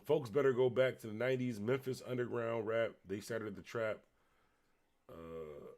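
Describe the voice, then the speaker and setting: Tipsy, rambling man with a Buffalo accent. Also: on the low side. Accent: Western New York. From Buffalo, New York. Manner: loose and rambling, trailing off, shouting out viewers. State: a little tipsy. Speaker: livestream host. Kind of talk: casual livestream chat reading comments.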